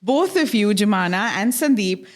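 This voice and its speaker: A woman's voice: medium pitch, expressive, moderate pace. A woman hosting a podcast interview, introducing guests in an upbeat, enthusiastic presenter manner. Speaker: podcast host.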